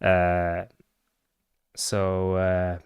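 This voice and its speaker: A man's voice: low voice, monotone, extremely slow, smooth, dry recording, studio quality. A man with a Norwegian accent hosting a live stream, chatting loosely about his football club with lots of fillers and replies to chat. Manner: rambling with many fillers, answers live chat. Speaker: livestream host and football fan.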